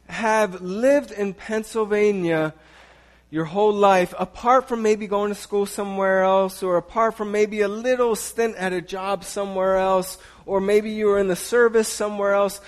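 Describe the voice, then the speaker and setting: A man preaching a sermon in a church, talking to his congregation in a loose, conversational way with frequent restarts. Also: high voice. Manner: conversational, halting with restarts, chuckling asides. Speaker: pastor. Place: church sanctuary.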